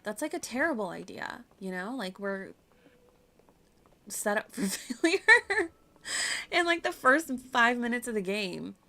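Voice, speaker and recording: A woman's voice: a little high, very animated, a little slow, creaky, bright, forceful tone. A woman giving casual, playful playthrough commentary as a game streamer, chatty and prone to giggling.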